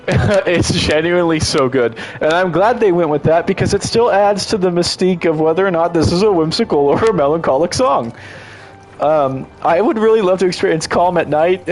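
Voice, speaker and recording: A man's voice: on the high side, normal speed, a little mellow. A congested man giving casual, enthusiastic gameplay commentary as a streamer, rambling and restarting his sentences often.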